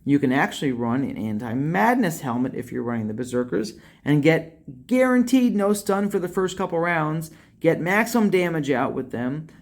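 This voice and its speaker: A young man walks through a strategy game build with a slightly high, lively voice. He leans hard on key words and closes each point with a quick "okay?". He sounds like a webcam recording from an indoor room. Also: vocal fry. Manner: animated, emphatic, ends points with "okay?". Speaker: game guide creator. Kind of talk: gameplay strategy commentary. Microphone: webcam facecam over screen capture.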